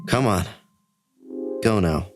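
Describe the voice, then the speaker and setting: A man playing a brash, controlling, testy commander in a sci-fi audio drama, speaking in a clipped, deliberate, bossy way. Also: low voice. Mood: cold, commanding. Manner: clipped, deliberate, profane bossiness. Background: music.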